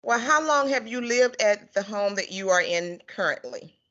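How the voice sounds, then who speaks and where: Woman asking interview questions, bright sharp voice, kinda muffled lo-fi audio. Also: medium pitch, animated, moderate pace. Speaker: long-term care ombudsman, interviewer. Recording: dull lo-fi recording.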